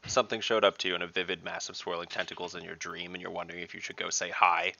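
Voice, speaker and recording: Guy playing a grumbling dwarf fighter, low gravelly voice, terse and sour, tabletop game. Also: flat delivery, fast talker, pushed and bright, muffled recording, slight background noise, heavily acted. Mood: grumpy, dry. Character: grumpy stocky dwarf warrior, terse complainer.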